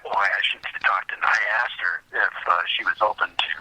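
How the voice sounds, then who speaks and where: An American man talking casually as an interview guest, halting and rambling as he repeats words, over what sounds like a call. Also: sits low, fairly even intonation, pushed and bright, husky, rough recording. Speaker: podcast guest, writer and interviewer. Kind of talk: casual conversational interview talk.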